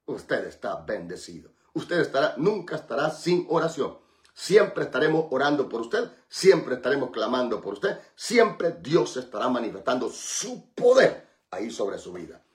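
Fervent praying radio pastor, echoey. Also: male voice, medium pitch, sing-song, moderate pace. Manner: fervent repetitive praying, then chatty and joking. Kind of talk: radio prayer and call-in program.

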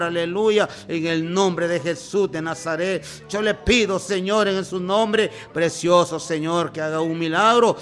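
Fervent high-voiced man praying. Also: a bit bright. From former drug and alcohol user. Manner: repeats "Señor" and "aleluya" in an impassioned, pleading rhythm. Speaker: preacher leading prayer. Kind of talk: fervent spoken prayer.

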